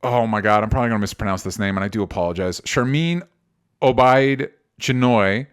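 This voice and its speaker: A man co-hosting a movie news podcast, talking informally and thinking out loud as he shares opinions. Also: lowish voice, fairly quick, smooth. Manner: informal, rambling, conversational with swearing. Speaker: co-host of a film talk podcast.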